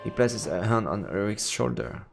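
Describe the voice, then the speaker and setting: A man's voice: lowish voice, lively intonation, moderate pace, creaky. A man narrating a visual novel aloud in a dramatic storytelling style as he streams. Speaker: streamer reading a game aloud. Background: faint music.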